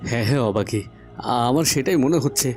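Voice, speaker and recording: A man's voice: medium pitch, animated, fast, darkish tone, lightly acted. A man voicing an earnest young prince in a cartoon, hesitant and easily frightened. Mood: afraid. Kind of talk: Bengali cartoon voice acting. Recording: faint music behind. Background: faint background music.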